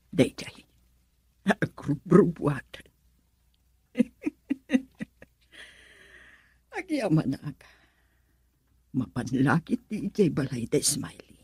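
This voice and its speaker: A very husky middle-aged mother from a radio melodrama, doting yet scheming. Her pitch swoops dramatically from low to high, and the recording is close and dry. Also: dark tone, no echo, exaggerated voice. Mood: sly, plotting.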